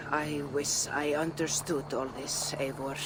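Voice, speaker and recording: An actress playing a gentle, sorrowful Viking seeress in a video game, speaking in a hushed, wistful manner. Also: female voice, low-pitched, flat delivery, takes it slowly, heavy background noise. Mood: sorrowful, puzzled. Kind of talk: dramatic video game acting.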